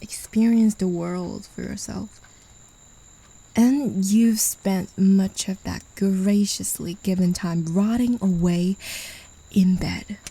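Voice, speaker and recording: A woman's voice: mid-range voice, steady tone, slow, creaky, dark tone, husky, heavily acted, low-quality recording. A woman voicing a possessive female demon in an ASMR roleplay, talking down to her human pet in a teasing, condescending way, often whispering. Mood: domineering. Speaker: voice actor.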